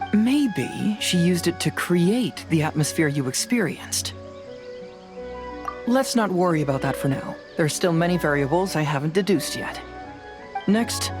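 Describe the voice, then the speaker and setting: An actor voicing a calm, analytical young investigator in a video game, speaking in a measured, deliberate and thoughtful way. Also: mid-range voice, natural voice. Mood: composed, focused.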